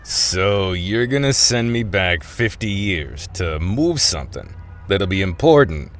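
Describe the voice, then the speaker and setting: A world-weary crook in his seventies in an audio play. His voice sits low and he takes his time, hesitating and wryly questioning in plain street slang. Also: expressive, unhurried. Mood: wry, skeptical. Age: 70s. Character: world-weary 75-year-old male ex-convict thief, folksy, skeptical. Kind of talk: audio drama acting.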